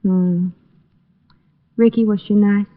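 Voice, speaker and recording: A woman's voice: mid-range voice, fairly even intonation, takes it slowly, close to an everyday voice. A woman acting a detective's refined sweetheart in a vintage radio drama. She is coy and sweetly insistent, with a jealous edge, heard through a thin, phone-like old recording. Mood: puzzled, coy. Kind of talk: 1940s-50s American radio acting.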